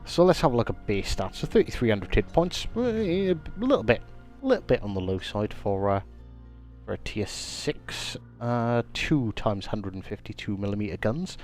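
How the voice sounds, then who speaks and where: A man's voice: lowish voice, sing-song, moderate pace, darkish tone, soft background music. A man, a little congested from a cold, chatting casually as he streams a game and greets viewers by name. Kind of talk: casual live-stream commentary.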